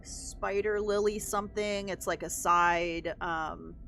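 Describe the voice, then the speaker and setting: Chatty woman with a deeper voice. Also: fairly even intonation, slow, fairly bright tone, a little hiss or hum. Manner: rambling, chatty, many "like" and "um" fillers. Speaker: livestreamer. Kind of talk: casual chat while gaming.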